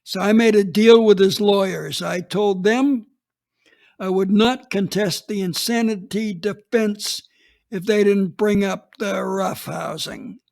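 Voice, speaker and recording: A man's voice: high-pitched, slow, creaky, a little mellow, full character voice. An actor playing a blunt, unapologetic retired police chief in his eighties, recounting a case in a radio drama. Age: 80s. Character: gruff old male retired police chief.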